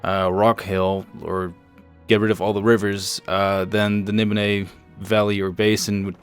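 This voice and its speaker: A man hosting a developer livestream, explaining things casually in a measured, halting way. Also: lowish voice, little pitch movement, smooth. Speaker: host and project lead on a developer livestream. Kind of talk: casual show-and-tell commentary over game screenshots. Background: faint music.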